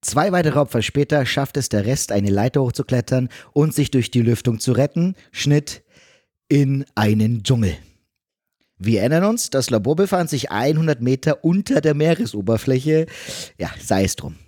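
A man's voice: mid-range voice, animated, normal speed, mellow, dry recording, clean studio sound. A man reading a scripted comedic film recap in a wry, sarcastic manner.

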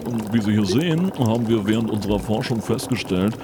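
A man playing a confident, smooth-talking lab chief who makes grand, persuasive speeches in a creaky voice, in film-dub style. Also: fairly low pitch, lively intonation, brisk, noisy background, natural voice. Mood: presenting. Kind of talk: German dub-style dramatized reading.

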